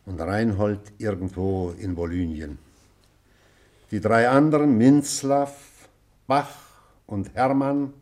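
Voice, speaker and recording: Slow, solemn old schoolmaster. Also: male voice, on the low side, a little mellow. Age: older. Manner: measured, oratorical, authoritative. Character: old retired schoolmaster, stern, solemn, formal. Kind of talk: radio play acting, speech to a class.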